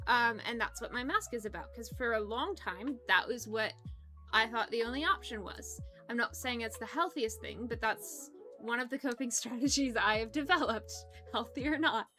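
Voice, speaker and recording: Young woman, streamer, rambling casual chat, laughs a lot, bright tone, faint music in background. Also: on the high side, normal speed. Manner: chatty, rambling, stumbles over words; giggly, laughs often.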